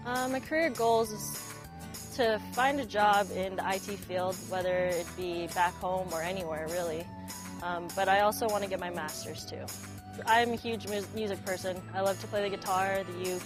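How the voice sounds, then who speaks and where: A woman's voice: medium pitch, steady tone, moderate pace. Young American woman over background music. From Las Vegas, United States. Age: early 20s. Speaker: college basketball player being profiled. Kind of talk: casual interview talk to camera.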